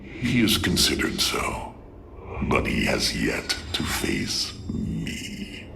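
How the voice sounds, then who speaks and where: An actor playing a proud, scheming male alien warlord in a video game, haughty and commanding, with a menacing gravelly voice. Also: rumbling low, big pitch swings, slow, bright, forceful tone, a little hiss or hum, heavily acted. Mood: ominous. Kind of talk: scripted game cinematic acting.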